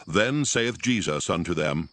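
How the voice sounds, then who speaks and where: A man's voice: low-pitched, expressive, unhurried, a bit bright, no room sound. A man giving a scripted narration of scripture in archaic English. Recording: voice over prominent music.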